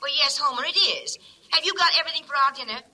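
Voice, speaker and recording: A woman's voice: high-pitched, fast talker, sharp tone. An actress with an American accent playing an easily surprised, indulgent middle-aged mother in an old-time radio comedy. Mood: puzzled. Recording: vintage radio recording with faint noise.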